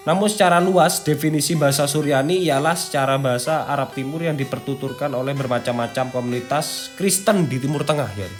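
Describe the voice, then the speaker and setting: A man's voice: medium pitch, a little fast, few pauses. A man with an East Javanese accent hosts a casual, slangy video, chatting and partly reading out an article, peppering his talk with interjections. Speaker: video host. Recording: background music under the talk.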